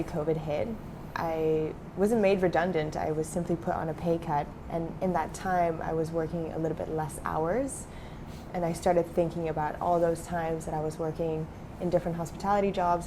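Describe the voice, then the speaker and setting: Young woman grew up in switzerland, chatting loose with lots of ums, lowish voice, noisy hiss behind. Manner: relaxed, many fillers like um and uh. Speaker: startup founder, guest. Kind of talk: casual interview talk.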